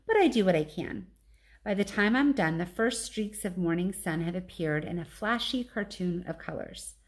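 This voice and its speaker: A woman reading a children's storybook aloud as a teacher would, with animated, clearly phrased narration. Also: mid-range voice.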